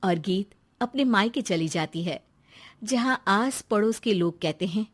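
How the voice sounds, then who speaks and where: A woman's voice: mid-range voice, lively intonation, a little slow, husky. A woman narrating a scripted moral story as a storyteller.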